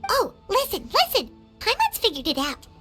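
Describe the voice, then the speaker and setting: A woman voicing a tiny, childlike, excitable fairy companion in a video game, with a squeaky, chirpy delivery. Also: moderate pace, full character voice. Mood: excited, smug. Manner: speaks of herself in third person, chirpy. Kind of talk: video game character acting. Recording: game music behind the voice. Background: game music.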